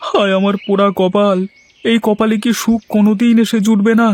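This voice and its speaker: A man's voice: high voice, little pitch movement, normal speed, a little mellow, lightly acted. A man playing an anxious, luckless village man in a cartoon, speaking in a plaintive, lamenting way. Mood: despairing. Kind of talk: Bengali cartoon dialogue dub.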